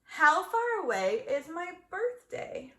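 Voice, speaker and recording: A woman's voice: very high-pitched, very animated, slow, bright, forceful tone, voice pushed up, exaggerated voice. A woman voicing an eager, literal-minded young girl in a children's storybook, exclaiming and asking questions. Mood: hopeful, curious. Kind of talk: children's storybook read-aloud.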